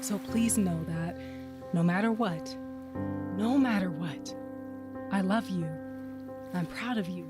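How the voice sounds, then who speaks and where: A woman's voice: fairly low pitch, takes it slowly, pauses often. A woman playing a loving, protective mother in an audio drama. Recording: loud music behind the voice.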